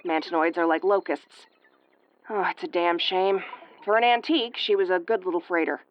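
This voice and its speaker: A woman's voice: on the low side, fairly even intonation, moderate pace, dry recording, highs cut off, lightly acted. A woman playing an injured, sarcastic starship first officer in an audio roleplay, with a wry, exasperated tomboyish delivery. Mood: weary, wry. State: woozy and battered. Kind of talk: audio roleplay acting, recorded log monologue.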